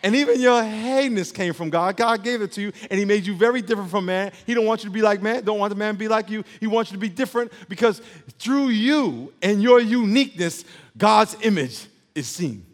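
A man's voice: sits high, brisk. A male pastor preaching a sermon emphatically, calling on the congregation to repeat words back to him. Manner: emphatic preaching with call-and-response. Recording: long hall reverb.

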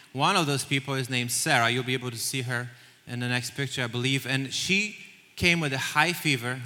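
A man speaks earnestly, walking through numbers and stories with small restarts. His voice is strikingly bright and cutting. It rings out with the long reverb of a large church hall. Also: medium pitch. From Poland. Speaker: missions leader. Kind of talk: onstage interview in church.

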